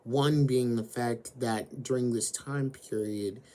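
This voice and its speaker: A man with a hoarse voice, slowly retelling an anime story as host of his own channel. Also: mid-range voice, fairly even intonation, dark tone. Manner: slow, deliberate storytelling with frequent fillers.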